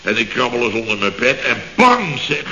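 A man's voice: medium pitch, big pitch swings, normal speed, reverberant, background noise, natural voice. An actor playing a blustering, boastful firm boss who tells his mishaps with exasperation, in a 1960s radio comedy. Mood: exasperated. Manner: exasperated comic storytelling, keeps exclaiming. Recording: lo-fi old radio recording.

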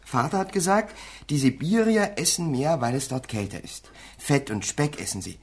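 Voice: mid-range voice, animated, moderate pace, slightly rough. A performer playing an eager young son and pupil in a German radio play, explaining things with some hesitation.

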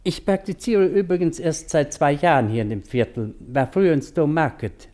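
An actor playing a talkative, worldly doctor with dry wit and a gossipy streak in a German radio crime drama. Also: male voice, on the high side, moderate pace, darkish tone, natural voice. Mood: chatty, wry. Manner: talkative, wry, slightly gossipy.

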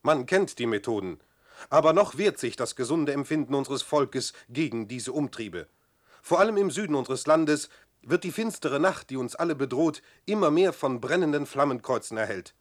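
A man's voice: medium pitch, lively intonation, normal speed, lightly acted. A man playing a fanatical male agitator in a radio play. His mid-range voice delivers reactionary commentary. The delivery is bombastic and declamatory. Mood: fervent, sinister. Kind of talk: radio drama mock propaganda commentary.

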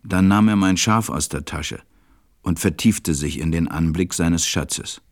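A very low-voiced, serious pilot tells his story in a radio play. He speaks in a measured, deliberate way, like someone recalling what happened to him. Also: no echo, clean studio sound. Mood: thoughtful, measured. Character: stranded adult male pilot, serious narrator recalling events. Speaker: narrator. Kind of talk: storytelling narration in an audio drama.

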